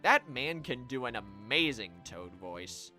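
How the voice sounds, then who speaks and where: A man live streaming a video game, chatting to viewers and commenting in an animated way. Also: mid-range voice, takes it slowly, faint music behind. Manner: animated, voice-acts game dialogue.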